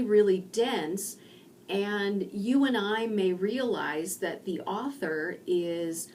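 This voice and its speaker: A middle-aged woman from rural Indiana giving a recorded online lecture from her home study, explaining slowly with pauses. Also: medium pitch, darkish tone, recorded from a distance, a little hiss or hum. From rural Indiana, USA. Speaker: university lecturer.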